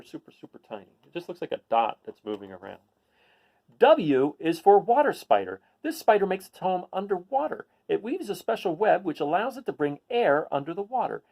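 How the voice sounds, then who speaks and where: A man's voice: a little high, gravelly, warm tone. A man reading a children's picture book aloud, adding casual asides and putting questions to his listeners. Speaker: read-aloud host.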